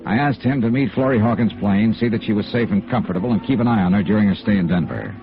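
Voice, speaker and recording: A man's voice: lowish voice, quick pace, close to an everyday voice. An American actor playing a hard-boiled, persistent insurance investigator in a radio noir drama, clipped and matter-of-fact as he narrates and questions. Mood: dry narration. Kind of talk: 1950s radio noir acting. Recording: dull vintage radio recording with music.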